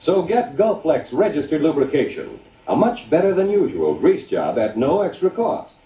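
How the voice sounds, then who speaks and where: A man's voice: fairly high pitch, very animated, moderate pace. A man reading a scripted old-time radio commercial as its lead announcer. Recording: tinny, echoey vintage radio recording.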